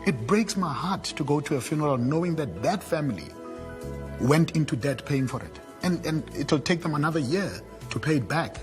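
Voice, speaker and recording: A man's voice: a little high, expressive, normal speed. A man reading an advert voice-over, persuasive and emphatic. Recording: music bed under voice.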